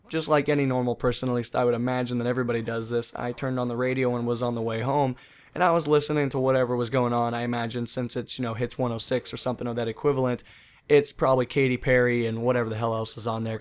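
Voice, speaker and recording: A young man who hosts a gaming channel and talks casually over gameplay. He rambles in a chatty way, full of fillers. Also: medium pitch.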